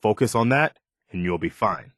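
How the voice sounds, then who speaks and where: An actor playing an earnest, loyal militia leader in a video game, speaking in a sincere, measured, conversational way. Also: male voice, fairly low pitch, expressive, fairly bright tone, no echo, clean studio sound. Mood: serious, concerned. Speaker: video game companion character. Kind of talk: acted video game dialogue lines.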